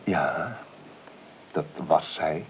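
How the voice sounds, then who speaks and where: An actor playing a nervous, over-polite and evasive nephew in a Dutch radio play, hesitant, fussy and deferential, given to exclaiming. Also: male voice, rumbling low, expressive, drawn-out words, heavily acted. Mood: guarded. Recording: dull, noisy old radio recording.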